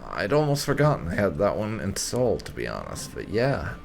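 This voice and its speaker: A man's voice: low-pitched, huge pitch swings, fairly quick, vocal fry, soft background music. A man giving roleplay playthrough commentary on a video game, musing with wry understatement. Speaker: gaming channel host.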